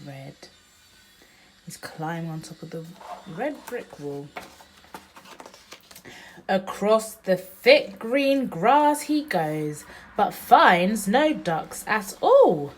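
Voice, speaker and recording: A woman reads a picture book to a small child in a slightly breathy, husky voice. Her pitch rises and falls in a lively sing-song, and the story lines come very slowly and emphatically. Between them she adds chatty asides and simple questions, as a mother would. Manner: child-directed, asking questions and pointing out pictures. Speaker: mother reading aloud to her young child.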